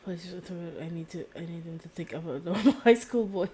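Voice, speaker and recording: A woman's voice: on the low side, brisk, warm tone. A woman game streamer chatting casually in a rambling, slangy, blunt way, often repeating her words. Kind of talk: casual live-stream chat. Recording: faint background noise, game audio.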